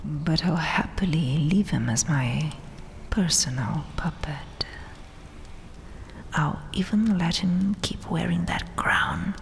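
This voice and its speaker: Woman as a whispering, creaky, domineering vampire queen. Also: sits low, animated, exaggerated voice. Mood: menacing, commanding. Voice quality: breathy whisper. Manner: taunting, mock-sweet, haughty, drawn-out delivery. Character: domineering, gloating vampire queen, regal conqueror. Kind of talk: whispered ASMR roleplay. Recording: long cavernous reverb, faint hiss. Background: dark tomb ambience.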